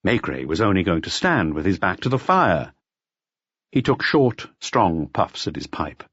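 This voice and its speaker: A man's voice: low-pitched, very animated, unhurried, fairly bright tone, slightly rough, dry recording, muffled recording. A man reading a mystery audiobook as a scripted narration. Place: close, dry recording.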